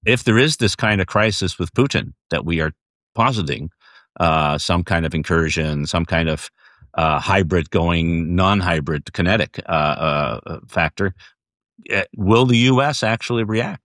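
An American man with a very low voice moderates a panel discussion. His delivery is measured and halting, often repeating a phrase before moving on. The sound is close and dry. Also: a bit bright, no echo. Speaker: former ambassador moderating a panel.

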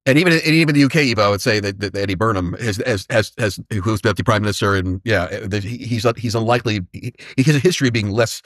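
Fast-talking low-voiced American man. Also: fairly bright tone, dry recording. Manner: informal, stammering word repetitions. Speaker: foreign policy panelist. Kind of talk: conversational political analysis.

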